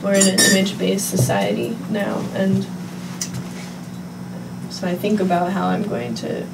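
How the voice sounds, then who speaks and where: A woman artist as a guest on a podcast, easygoing and quick to laugh, thinking aloud as she explains her work. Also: medium pitch, level tone, creaky, distant mic, very noisy. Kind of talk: casual interview chat. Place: podcast studio. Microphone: set back from a studio mic.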